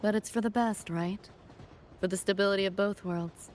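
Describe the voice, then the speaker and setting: A woman's voice: mid-range voice, steady tone, moderate pace, darkish tone, a little hiss or hum, close to an everyday voice. A woman playing a cool, wry young mercenary in a video game, guarded but caring, with clipped, slangy lines. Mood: wistful, resigned. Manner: laconic, clipped slangy delivery. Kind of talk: video game story dialogue.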